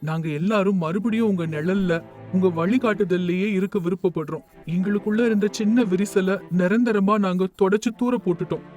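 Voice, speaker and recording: Male actor as repentant grown son, earnest, declamatory, high pitch for a man, cartoon family drama, light music. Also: brisk, darkish tone, natural voice. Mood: earnest remorse. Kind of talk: animated moral story dialogue. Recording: music under the voice.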